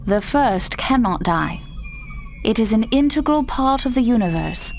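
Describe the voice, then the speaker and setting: A woman's voice: on the high side, animated, over music. A woman voicing a grave, wise mystical guide in a video game, speaking formally and portentously. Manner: formal, portentous, measured phrasing. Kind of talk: dramatic video game cutscene acting.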